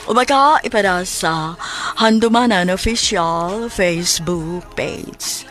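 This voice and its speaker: A woman's voice: fairly low pitch, takes it slowly, a bit bright, soft background music. A woman with a Cebuano Filipino accent reading a scripted announcement as a radio drama announcer.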